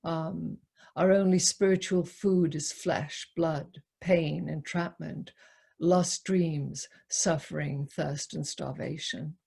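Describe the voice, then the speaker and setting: Female discussion leader, husky and unhurried, thinking aloud haltingly as she explains poetry. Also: low voice, fairly even intonation, mellow. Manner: halting, reflective, repeats words as she explains. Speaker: poetry lecturer leading a discussion. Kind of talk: poetry talk and open group discussion.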